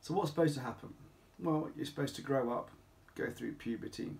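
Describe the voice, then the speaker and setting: Creaky-voiced middle-aged male doctor. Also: mid-range voice, darkish tone. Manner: emphatic, deliberate, with rhetorical questions. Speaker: doctor at a men's health clinic. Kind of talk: informal explainer talk to camera. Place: small home office or consulting room, indoors.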